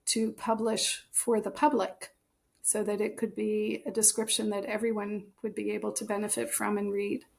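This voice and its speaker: A woman's voice: medium pitch, flat delivery, moderate pace, vocal fry, darkish tone. An American woman speaking as an interview guest, explaining things in an articulate, conversational way with emphasis. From United States. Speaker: author and translator, interview guest.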